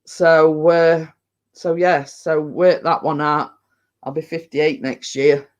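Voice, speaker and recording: A woman's voice: low-pitched, flat delivery, slow pace, muffled recording. A Lancashire woman in her fifties chatting casually on a home livestream, reading out viewer comments and rambling with frequent laughs. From Lancashire, England. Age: 50s. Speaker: livestream host.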